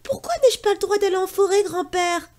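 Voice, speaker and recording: A woman voicing an eager, bold little boy hero in a storybook, with shrill excited cries. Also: fairly quick.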